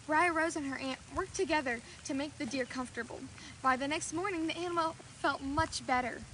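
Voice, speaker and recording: A woman's voice: high-pitched, brisk, sharp tone, a little hiss or hum, low-quality recording. A woman storyteller reading a children's fairy tale aloud.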